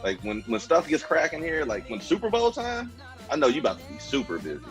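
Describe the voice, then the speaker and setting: A man's voice: fairly high pitch, wildly swooping pitch, brisk, soft background music. A man co-hosting a casual radio interview, talking in a slangy, informal way to guest and listeners. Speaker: radio show co-host and event host.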